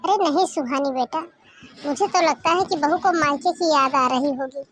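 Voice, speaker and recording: A woman voicing a warm, affectionate middle-aged mother-in-law with a coaxing, motherly manner. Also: high-pitched, moderate pace, a little hiss or hum. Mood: reassuring.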